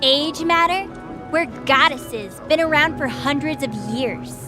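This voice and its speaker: A woman's voice: high voice, slow pace. A woman voicing a brash, cocky child-like goddess who provokes others in a fantasy audio drama. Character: brash, defiant centuries-old goddess who looks like a child. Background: music.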